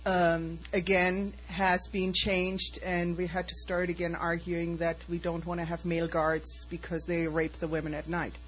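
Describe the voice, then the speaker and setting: A woman's voice: fairly low pitch, little pitch movement, unhurried, hardly any pauses, slight background noise, rough recording. A woman guest speaker frankly and earnestly answering audience questions, with a few fillers.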